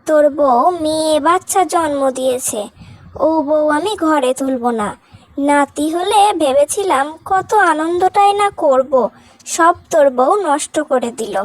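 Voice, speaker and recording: Stern, high-voiced domineering mother-in-law played by a woman. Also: no echo. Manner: scolding, commanding. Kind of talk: Bengali cartoon drama voice acting.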